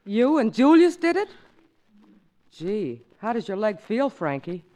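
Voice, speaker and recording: A woman's voice: mid-range voice, very animated, unhurried, warm tone. A woman playing a chatty young wife who talks easily and sings a lilting novelty song, in 1940s radio style. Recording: old radio recording with music.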